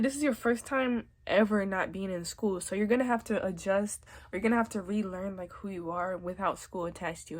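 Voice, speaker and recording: A young woman with a stuffy, congested nose from a cold, giving chatty, reassuring advice in a casual vlog. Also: mid-range voice. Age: young adult. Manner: chatty, reassuring, many likes and literallys. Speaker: vlogger.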